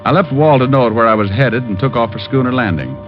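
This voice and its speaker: An actor playing a wry, unflappable private detective with a dry, clipped, wisecracking delivery in an old radio drama. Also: male voice, medium pitch, fast, over loud music, natural voice. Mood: hard-boiled narration. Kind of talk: 1940s-50s radio detective acting. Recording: dull vintage radio recording.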